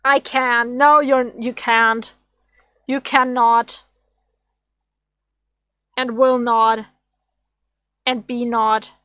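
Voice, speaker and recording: A woman streamer with a slightly raspy voice, rambling and thinking aloud as she draws on a casual livestream. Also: on the high side, flat delivery, drawn-out words, pauses often, sharp tone, no room sound. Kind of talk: casual drawing livestream chat.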